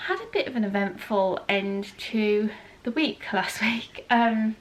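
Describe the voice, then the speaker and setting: A woman's voice: mid-range voice, animated, measured pace, poor audio. Congested British woman chatting to camera. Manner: chatty storytelling with frequent ums and asides. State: congested with a cold. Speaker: vlogger. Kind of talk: casual chat to camera.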